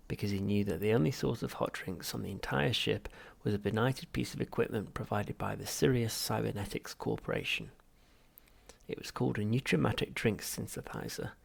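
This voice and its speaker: A man's voice: on the low side, vocal fry, breathy edge. A man reading a comic novel aloud as scripted audiobook narration. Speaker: audiobook reader.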